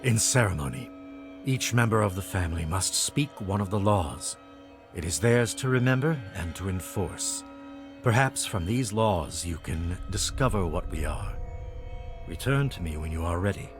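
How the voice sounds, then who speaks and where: An actor playing the solemn leader of an underground flock of outcasts in a video game, speaking in formal, preacher-like oratory. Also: male voice, low voice, animated, moderate pace. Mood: calm, cryptic. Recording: music behind the voice.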